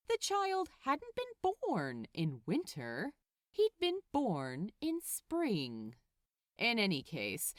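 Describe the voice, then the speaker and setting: Expressive woman narrator, very animated pitch. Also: no room sound, studio quality. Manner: expressive, deliberate storytelling delivery. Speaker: podfic narrator. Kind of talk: scripted storybook narration, read aloud.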